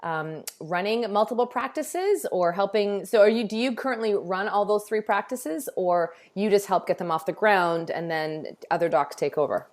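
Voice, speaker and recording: A woman's voice: medium pitch, animated, normal speed, few pauses. Chatty, upbeat woman podcast host. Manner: enthusiastic, chatty. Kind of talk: casual interview chat. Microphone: remote call setup.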